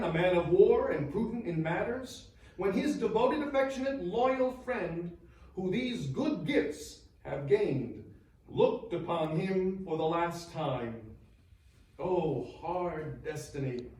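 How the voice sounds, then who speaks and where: A man's voice: high-pitched, darkish tone, a little hiss or hum. An actor playing a grieving churchman bidding a lost friend farewell, in a solemn, declamatory stage recitation. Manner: solemn, declamatory, lingering on phrases. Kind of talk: dramatic stage recitation of verse and sermon. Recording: distant, very echoey live hall sound.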